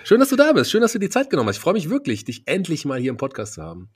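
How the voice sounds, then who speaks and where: A man hosting a podcast, introducing and interviewing in a lively, informal way. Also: a little high, rapid-fire, slightly rough. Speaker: podcast host.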